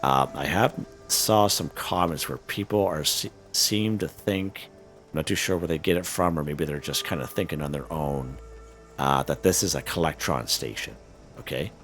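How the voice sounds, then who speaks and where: A man with a hoarse, worn voice, casually talking viewers through a game, full of fillers and "okay?" check-ins. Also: rumbling low, moderate pace, a bit bright, faint music behind. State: hoarse, losing his voice. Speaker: gaming channel host. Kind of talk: casual gameplay walkthrough commentary.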